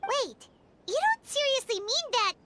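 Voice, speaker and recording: A woman voicing a tiny, childlike, excitable fairy companion in an anime game dub, exclamatory in manner. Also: very high-pitched, a little slow, background noise, full character voice. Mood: teasing, knowing. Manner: exclamatory, talks about self in third person.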